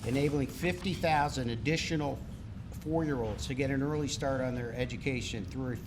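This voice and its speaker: A man who is a board member, reading a measured, prepared introduction before presenting an award at a gala. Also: medium pitch, background noise. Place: gala banquet hall.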